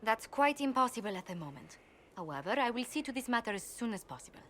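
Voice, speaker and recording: A woman's voice: a little high, big pitch swings, a bit bright, slight background noise. Courteous, composed noblewoman ambassador. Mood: politely firm. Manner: polite, formal, measured. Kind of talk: video game cutscene acting.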